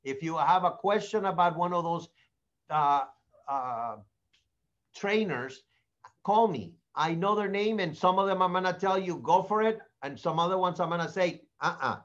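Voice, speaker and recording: A man with a Spanish accent, a native Spanish speaker, coaches real estate agents in a blunt, animated way and acts out sample sales dialogues. His voice sits high for a man. The recording sounds muffled and lo-fi. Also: moderate pace. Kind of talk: sales training talk.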